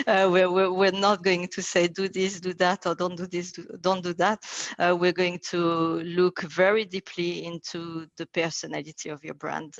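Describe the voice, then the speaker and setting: A woman's voice: sits low, vocal fry, no room sound. A woman, a branding consultant raised in France, speaking as an interview guest and using many fillers. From South Korea, raised in France. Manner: thoughtful, frequent fillers, laughs easily.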